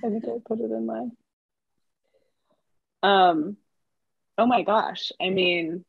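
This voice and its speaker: Husky, gravelly woman on a muffled call. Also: medium pitch, slow pace, no echo. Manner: playful, laughing, backchannel listener. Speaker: podcast guest. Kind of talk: casual conversation. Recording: dull, slightly muffled call audio.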